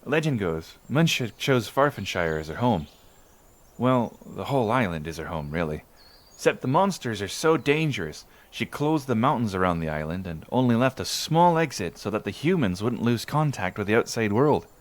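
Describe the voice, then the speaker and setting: A man's voice: fairly low pitch, big pitch swings, moderate pace. A man playing an island villager in an ASMR roleplay, recalling his childhood in a folksy, musing, conversational way. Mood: reflective, musing. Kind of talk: ASMR roleplay storytelling monologue.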